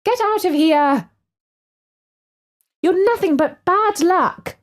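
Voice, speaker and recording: A woman's voice: very high-pitched, lively intonation, slow pace, voice pushed up, full character voice. A woman voicing a harried, superstitious mother in a children's story, exclaiming dramatically. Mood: angry.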